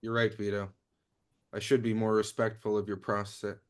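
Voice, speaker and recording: A man in a comedy script table read playing an earnest, work-minded agent who speaks plainly and a little haltingly. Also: lowish voice, flat delivery, lightly acted. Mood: concerned. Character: earnest, work-minded male secret agent, uneasy partner.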